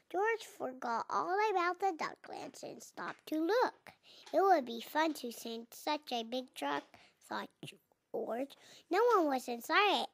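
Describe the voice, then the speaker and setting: Slow, high-pitched little girl reading aloud. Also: vocal fry, fairly bright tone. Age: young child, four and a half. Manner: halting, sounding out words, repeating. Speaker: child reader. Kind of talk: reading a picture book aloud.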